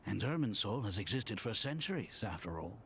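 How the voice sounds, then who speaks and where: Animated, analytical scholar. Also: male voice, lowish voice, a little fast, creaky, a little hiss or hum, full character voice. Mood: pondering. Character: analytical male engineer scholar, thoughtful and precise. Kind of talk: video game voice acting.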